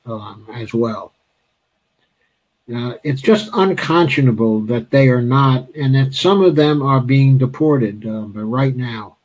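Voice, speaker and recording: A man's voice: medium pitch, a little mellow, husky, rough recording. A man hosting a political interview, hesitant with many fillers but blunt and emphatic in his views. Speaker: interviewer, host.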